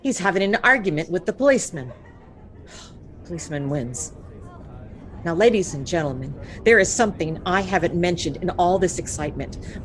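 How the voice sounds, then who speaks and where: A woman's voice: fairly low pitch, background noise, close to an everyday voice. A woman playing an on-scene radio reporter describing a live event in a radio drama.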